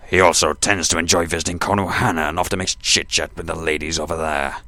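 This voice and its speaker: Very deep, blustering older defender. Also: male voice, fairly even intonation, quick pace, sharp tone. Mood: accusing, triumphant. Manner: pompous, combative. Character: gruff, blustering older man acting as defense. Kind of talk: amateur radio play acting.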